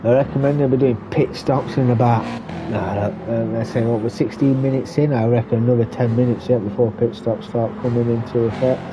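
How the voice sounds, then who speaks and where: A man giving relaxed running commentary on a sim race, stuffy and muffled from a cold. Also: medium pitch, a little fast. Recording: muffled lo-fi recording with background noise.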